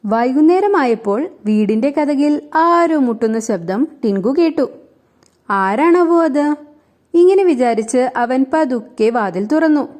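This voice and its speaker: A woman tells a children's story in a high voice with a soft, mellow tone. She reads the scripted narration at an unhurried pace.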